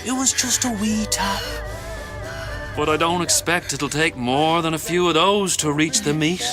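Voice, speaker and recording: An actor playing a sadistic, mockingly affectionate crime boss in a video game, with an Irish accent and a taunting, drawn-out delivery. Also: male voice, fairly high pitch, close to an everyday voice. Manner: taunting, theatrical, drawn-out phrasing. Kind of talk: video game cutscene acting. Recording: music behind the voice.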